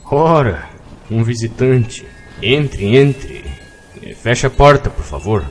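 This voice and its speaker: A man's voice: medium pitch, animated, takes it slowly, slight background noise. A man playing a kindly, lonely old innkeeper, telling tales with theatrical phrasing in an audio drama. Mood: welcoming. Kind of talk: dramatic fairy-tale narration in an audio drama.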